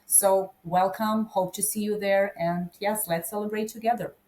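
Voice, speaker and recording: A woman's voice: mid-range voice, fairly even intonation, normal speed, warm tone, poor audio. A woman in her forties from Ukraine, speaking English with a Ukrainian accent as a guest, enthusiastic and full of "like"s. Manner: enthusiastic, filler-heavy speech with many "like"s. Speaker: guest, event organizer. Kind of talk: conversational interview.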